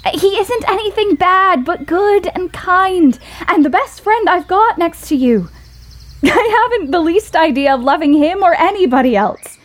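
A woman playing an earnest, independent young woman in a period audio drama, with a halting, pleading delivery. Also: very high voice, animated. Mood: earnest, reassuring. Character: earnest, plain-spoken, independent young woman refusing a suitor.

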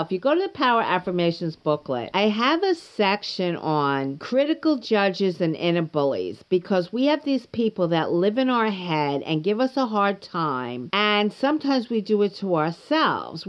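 A woman's voice: mid-range voice, dull audio. A woman hosting her own podcast, talking casually and candidly with a stuffed-up nose and sore throat. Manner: chatty, candid, self-deprecating. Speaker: podcast host. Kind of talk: casual solo podcast monologue. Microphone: home podcast microphone.

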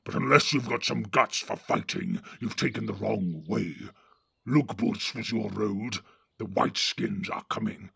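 A man voicing a brutal, boastful orc warlord in an audiobook, barking contemptuous orders in a gravelly voice. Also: lowish voice, unhurried, sharp tone, dry recording, studio quality, voice pushed down, exaggerated voice. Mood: contemptuous commanding. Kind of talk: audiobook reading.

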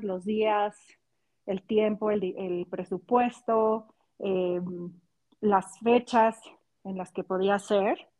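A woman's voice: medium pitch, darkish tone. Chatty woman in her forties. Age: 40s. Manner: chatty, with fillers like "este". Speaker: podcast guest. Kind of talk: casual storytelling.

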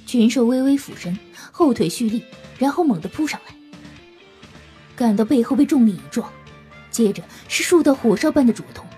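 A woman's voice: fairly high pitch, lively intonation, normal speed, warm tone, soft background music. A woman narrating a scripted audio drama as a storyteller, in a dramatic style.

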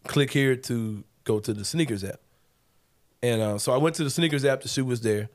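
A man's voice: mid-range voice, moderate pace. A man, hosting a casual sports talk show in a laid-back, joking, slangy way. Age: 40s. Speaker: podcast host.

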